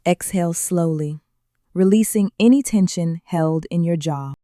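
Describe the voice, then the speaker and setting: A woman leading a guided meditation in a calm, gentle way, with slow soothing instructions and long pauses. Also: fairly low pitch, dry recording, studio quality.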